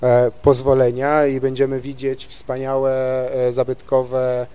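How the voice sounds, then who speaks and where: Measured Polish man on a discussion panel. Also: mid-range voice, completely flat delivery, warm tone, clean tone. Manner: articulate, measured, with frequent "e" fillers. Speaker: panelist, automotive journalist and vehicle designer. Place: large university lecture hall.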